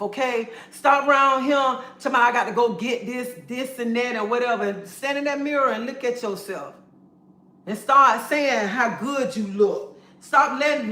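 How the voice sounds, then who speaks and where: A woman's voice: a little high, a bit bright. A woman lectures her livestream viewers in a sassy, preachy way, calling them honey and baby. Her voice carries a noticeable echo from the room she streams in. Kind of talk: casual livestream pep talk.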